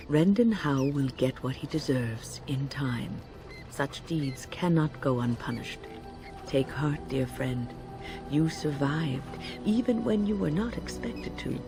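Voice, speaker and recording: A woman playing a gentle, thoughtful warrior companion in a fantasy video game, soft-spoken, earnest and measured. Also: a bass voice, full character voice. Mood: consoling, reflective. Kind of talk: dramatic video game acting. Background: music.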